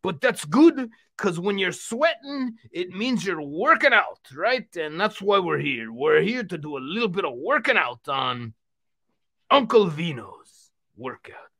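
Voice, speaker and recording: Man as excitable, friendly male puppet workout host for a kids' show; animated pitch, bright delivery. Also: a little slow, full character voice. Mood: excited, encouraging. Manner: exclamatory, repetitive, addresses the audience.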